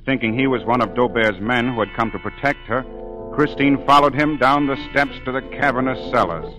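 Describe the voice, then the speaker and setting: A man's voice: medium pitch, steady tone, a little fast, muffled recording, over music. A man reading scripted narration for a 1940s radio drama.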